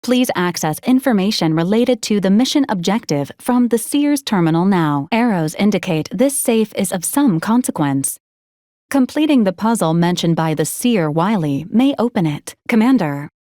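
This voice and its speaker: An actress plays an unflappable female robot assistant from a video game, with a mid-pitched voice. She is formal and courteous, delivering clipped, deadpan advisories in a very dry, close recording. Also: dry recording, natural voice. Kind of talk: scripted video game character lines.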